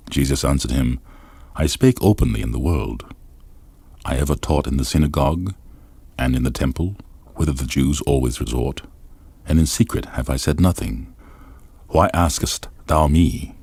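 A man narrating scripture in a grave, deliberate, formal reading style. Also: extremely low pitch, creaky, darkish tone, breathy edge, no echo. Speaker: Bible reader.

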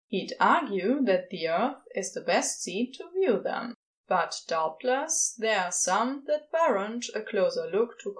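A woman reading a fan fiction story aloud as expressive scripted narration. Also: mid-range voice, poor audio. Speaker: podfic reader.

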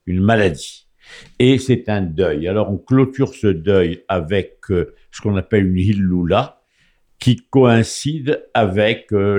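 A man's voice: sits low, a little mellow. A man from Morocco speaking on a radio talk show, hesitant, with frequent fillers and restarts. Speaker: guest commentator. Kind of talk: casual radio chat. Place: radio studio.

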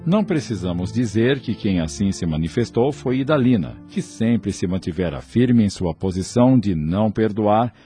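A man narrates a radio drama with solemn formality. His voice is fairly low and dark, reading a scripted story over a faint bed of music. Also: expressive, moderate pace, hardly any pauses.

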